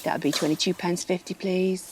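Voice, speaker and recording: Officious, lowish-voiced shop assistant. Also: female voice, fairly even intonation, normal speed, breathy edge. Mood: indignant, gossipy. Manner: matter-of-fact, suspicious, gossipy. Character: prim, dim, officious female shop assistant. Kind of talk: audio play comedy acting.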